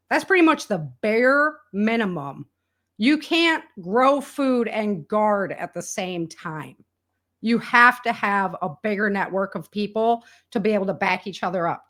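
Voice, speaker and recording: A woman with a mid-pitched voice talks as a guest in a casual interview. Her phrasing is colloquial and emphatic. She readily slips into quoting people as part of her spontaneous style. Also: animated, normal speed, a bit bright. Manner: colloquial and emphatic, acts out quoted remarks. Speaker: interview guest, author and podcaster on survival prepping.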